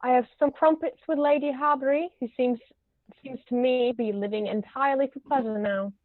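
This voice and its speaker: A woman's voice: sits high, fairly even intonation, brisk, no echo, narrow, tinny audio, lightly acted. A woman voicing an imperious older aristocratic aunt, stately and haughty, in a table read of a stage comedy over thin call audio. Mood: haughty gossip.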